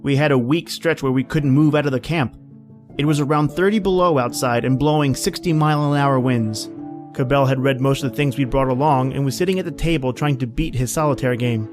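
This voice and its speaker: Male actor as calm, hardy northern surveyor, radio drama narrator, medium pitch, understated delivery, faint music underneath. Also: a little fast, lightly acted. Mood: reflective, grave. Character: Jack, calm hardy surveyor narrating his Arctic winter.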